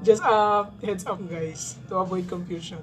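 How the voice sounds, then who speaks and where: A young Filipino woman whose first language is Tagalog, speaking careful English with a Filipino accent as she reacts excitedly to a music video in a small room. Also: medium pitch, normal speed, a little hiss or hum. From Philippines. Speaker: YouTube reactor. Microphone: headset, facing camera.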